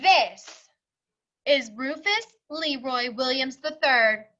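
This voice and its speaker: A woman voicing a firm but kindly male school principal in a children's storybook, speaking deliberately as if announcing. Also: sits high, animated, sharp tone, dull audio, exaggerated voice. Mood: approving, announcing. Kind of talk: children's storybook read-aloud.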